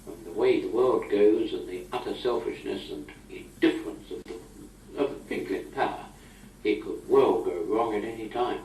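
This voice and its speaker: A man's voice: fairly low pitch, moderate pace, darkish tone. A middle-aged or older British man speaking in a chatty, wry, old-fashioned way, as if in an informal spoken letter to a friend. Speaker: amateur correspondent on a taped letter. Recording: old amateur tape, roomy, distant, noisy.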